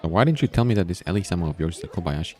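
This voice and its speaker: A man voicing an evasive, slightly sarcastic male high school student in a visual novel. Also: animated, rapid-fire, darkish tone, some chatter behind. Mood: offhand. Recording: background music.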